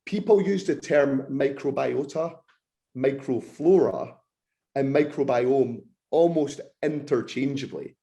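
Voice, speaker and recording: A male researcher in his thirties speaks as a podcast guest, his voice croaky and a little hoarse with a gravelly edge. He explains technical ideas with real enthusiasm. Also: mid-range voice, takes it slowly, dark tone. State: croaky, slightly hoarse throat.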